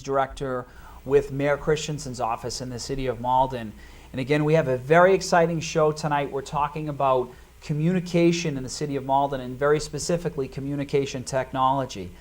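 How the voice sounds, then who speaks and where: A man's voice: medium pitch, steady tone, fairly quick. A middle-aged man with a Boston accent hosting a local talk show, chatty and full of fillers and restarts. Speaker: host of local cable show.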